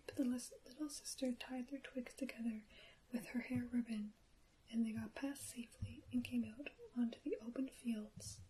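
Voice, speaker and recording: A woman reading a bedtime story for ASMR in a soft, breathy, careful whisper. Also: a little high, fairly even intonation, normal speed, poor audio.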